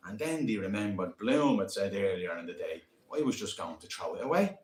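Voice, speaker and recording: Wry man narrating, low voice. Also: expressive, a little fast. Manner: dry, wry. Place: community centre hall, live event. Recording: roomy hall echo.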